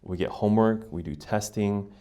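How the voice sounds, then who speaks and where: American male podcast guest, low-pitched, conversational, reflective explainer. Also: normal speed, warm tone. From United States. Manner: reflective, explanatory, often checks in with "right?". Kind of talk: casual conversational interview.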